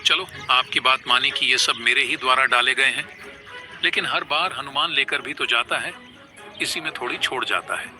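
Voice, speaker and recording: A man's voice: medium pitch, moderate pace, sharp tone, soft background music. A man voicing an earnest, devoted monkey-god warrior in a cartoon, speaking respectfully with pleading and exclamations. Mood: respectful, questioning. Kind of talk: animated devotional story dialogue.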